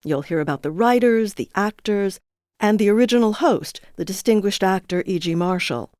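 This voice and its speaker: A woman's voice: medium pitch, moderate pace, a little mellow, no room sound, studio quality. A woman reading a scripted introduction as the host of a podcast series.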